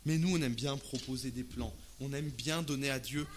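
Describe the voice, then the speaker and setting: A man from Alsace preaching a sermon in casual, expressive, conversational language, often asking rhetorical questions. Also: medium pitch, moderate pace, slight background noise. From Alsace, France. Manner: expressive, uses rhetorical questions and acted-out asides.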